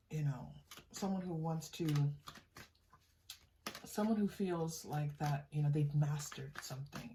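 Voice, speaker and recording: A woman giving an improvised spiritual tarot reading straight to the viewer, in a halting, conversational way that often seeks agreement. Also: low voice, dark tone, far from the mic, a little hiss or hum. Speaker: tarot reader and channel host.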